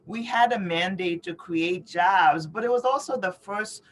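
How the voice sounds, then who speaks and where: A woman's voice: fairly low pitch, unhurried. A middle-aged woman from New York City, born in Haiti, giving earnest, explanatory interview answers and often checking in with "you know" and "right?". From born in Haiti, raised in New York City. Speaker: interview guest, author and advocate.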